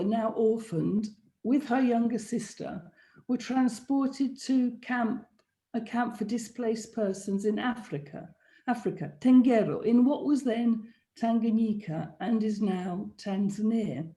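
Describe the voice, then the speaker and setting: Echoey, higher-pitched woman lecturer, mellow voice. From England. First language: Polish. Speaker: architect giving a public lecture. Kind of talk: prepared lecture with slides. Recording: echoey, roomy sound.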